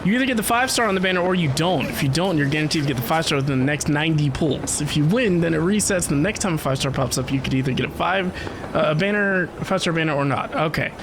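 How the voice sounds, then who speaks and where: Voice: mid-range voice, expressive, quick pace, background noise. A game streamer, chatting informally and casually during a livestream. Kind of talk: casual livestream chat while gaming. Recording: game music behind the voice.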